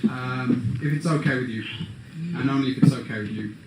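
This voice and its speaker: A man giving a playful wedding speech to guests, pausing for their laughter. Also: mid-range voice. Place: wedding reception hall. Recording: echoey hall video with loud crowd noise.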